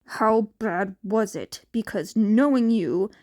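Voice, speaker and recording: A woman's voice: mid-range voice, takes it slowly, a little mellow, lightly acted. Woman as a brash, blunt teenage boy. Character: brash, gruff teenage boy, a hot-headed bully. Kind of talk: fan fiction character acting.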